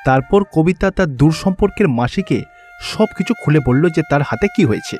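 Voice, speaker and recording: A man's voice: a little high, wildly swooping pitch, fairly quick, darkish tone. A man reading scripted narration for a cartoon fairy tale as its storyteller. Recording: background music under the voice.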